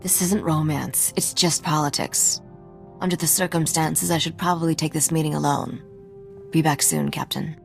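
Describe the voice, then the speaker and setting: An actress playing a sardonic, self-assured young smuggler who is also an exiled royal heiress, in video game dialogue. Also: female voice, low voice, fairly even intonation, normal speed, gravelly, soft background music. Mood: dry, composed. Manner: dry, wry, self-assured.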